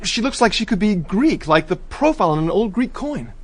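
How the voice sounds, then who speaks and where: A hot-headed young man in a radio drama. His voice is high and jumps about in pitch. He speaks in agitated, impulsive bursts. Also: sing-song, a little fast, husky, exaggerated voice.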